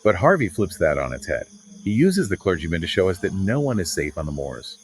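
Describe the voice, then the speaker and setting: A man's voice: low-pitched, sing-song, brisk, slight background noise. A man co-hosting a podcast, discussing literature conversationally with an emphatic, dramatic delivery. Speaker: podcast co-host.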